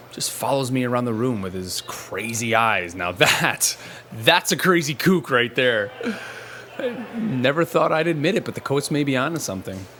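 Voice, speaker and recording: A man's voice: medium pitch, a bit bright, close to an everyday voice. An actor playing a rueful, guilt-ridden man, rambling and self-deprecating as he confides in an audio log. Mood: wry, casual. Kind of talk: audio drama monologue, casual. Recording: faint background noise and ambience.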